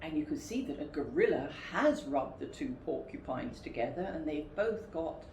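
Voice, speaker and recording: A woman reading a picture book aloud to children in an expressive, deliberate way. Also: low voice. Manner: expressive, deliberate, asks listeners questions. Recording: roomy, distant, noisy recording.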